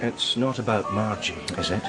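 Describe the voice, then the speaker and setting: An actor playing a discreet male art dealer in a radio crime drama, speaking in a deliberate, hesitant, euphemistic way. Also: lowish voice, over loud music, close to an everyday voice. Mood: heartbroken. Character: male art dealer George, heartbroken, guarded, discreet old friend.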